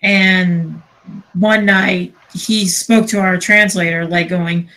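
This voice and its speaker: A woman chatting casually and telling stories in a sweary, animated way, acting out what people said. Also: lowish voice, slow pace, low-quality recording. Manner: sweary, animated retelling with acted-out dialogue. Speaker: podcast co-host. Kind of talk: casual storytelling chat.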